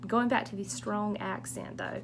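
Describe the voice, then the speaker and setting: A woman's voice: medium pitch, steady tone, normal speed, vocal fry, background noise. A middle-aged woman from eastern North Carolina with a strong Southern accent, answering student questions for a college public speaking course in a friendly, reassuring, chatty way. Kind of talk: casual recorded course Q&A.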